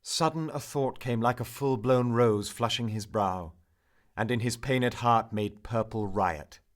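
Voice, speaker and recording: A man narrating a poem in a theatrical, measured manner, swelling for emphasis and hushing at line endings. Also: mid-range voice. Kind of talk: dramatic narrative poetry recital.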